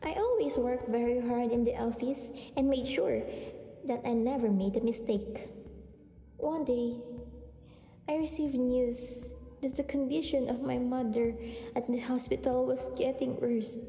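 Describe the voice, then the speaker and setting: A woman's voice: on the high side, a little slow, dark tone. A woman playing a meek, deferential former personal assistant in a radio drama, hesitant and apologetic. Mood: apologetic, worried. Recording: echoey reverberant room, faint music behind.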